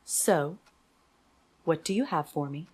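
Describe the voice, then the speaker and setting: A woman playing a composed, stern military leader with weary authority in an anime audio drama, measured and commanding. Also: low voice, lively intonation, darkish tone, husky, exaggerated voice. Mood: tense, expectant.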